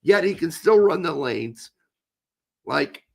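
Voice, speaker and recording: A man co-hosting a casual podcast chat, hoarse with his voice going, blunt and opinionated with some swearing. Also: on the high side, animated, slow pace. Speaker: co-host.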